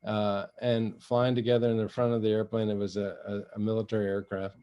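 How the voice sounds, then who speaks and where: An older man from Africa, Swahili his first language, telling his story in an interview in a measured way, often restarting and laughing lightly. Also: lowish voice, level tone, clear, resonant tone, highs cut off. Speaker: guest, retired general and envoy. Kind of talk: interview conversation.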